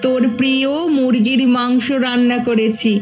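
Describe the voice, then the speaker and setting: A woman's voice: fairly high pitch, level tone, moderate pace, faint music behind, close to an everyday voice. A woman voicing an affectionate, fussing mother of a grown daughter in a horror cartoon. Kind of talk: animated horror cartoon dialogue.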